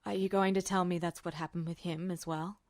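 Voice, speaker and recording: A woman's voice: lowish voice, flat delivery, a little fast, darkish tone, dry recording, studio quality, voice pushed down, natural voice. A woman voicing a shaken, guilt-ridden adult man, a colonel, who stammers in disbelief. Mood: stunned. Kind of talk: podfic reading of fan fiction.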